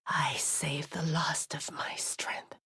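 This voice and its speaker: An actress playing a bitter, coldly mocking, vengeful dying villainess in a video game cutscene, breathy and languid, savouring each phrase. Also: female voice, sits low, flat delivery, slow, sharp tone, dry recording, in a whisper, full character voice. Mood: bitter satisfaction. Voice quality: breathy, husky.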